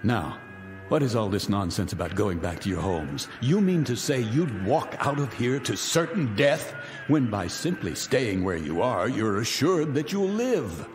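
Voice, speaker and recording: A sneering, theatrical tormentor. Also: male voice, on the low side, sing-song, unhurried, long reverb tail, soft background music. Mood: commanding. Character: scornful wealthy man in a bunker, taunting captives. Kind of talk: radio drama acting.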